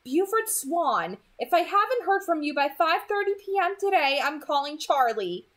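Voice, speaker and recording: A woman's voice: high-pitched, very animated, moderate pace, fairly bright tone, voice pushed up. A woman voicing an anxious, scatterbrained, impatient mother in a storybook read-aloud. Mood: exasperated, insistent. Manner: fretful, insistent.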